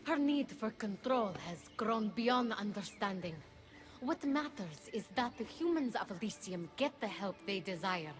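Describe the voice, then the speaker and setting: An actress playing a stern, commanding goddess-like mentor in a video game, measured and disdainful. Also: female voice, mid-range voice, lively intonation, lightly acted. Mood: solemn, instructing. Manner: imperious, measured, solemn. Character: stern, commanding divine female mentor, coolly disdainful of feelings. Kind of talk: video game cutscene acting.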